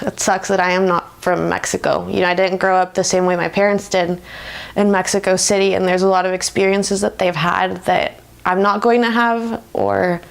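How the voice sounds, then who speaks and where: A woman in her twenties answers interview questions in an American accent. Her voice has noticeable vocal fry and sits at a medium pitch. She talks in an informal, reflective way. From United States. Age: 20s. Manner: informal, often laughs while talking. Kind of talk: reflective, conversational personal interview.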